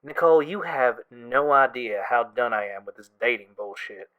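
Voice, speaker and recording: A man playing a wry, exasperated but affectionate young friend in an audio drama, talking casually with a gently complaining manner. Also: mid-range voice, animated, normal speed, dull audio, natural voice.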